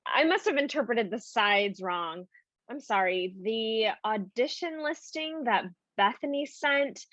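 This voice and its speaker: A polite, uneasy young actress, her voice a little high. She speaks hesitantly and questioningly in naturalistic drama style, on dry call audio. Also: fairly bright tone, dry recording, highs cut off. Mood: confused. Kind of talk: naturalistic virtual stage acting.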